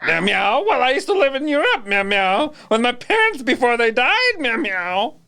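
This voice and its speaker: A woman voicing an awkward, polite young gentleman cat, hesitant and stammering, with meows between phrases. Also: on the high side, big pitch swings, moderate pace, heavily acted.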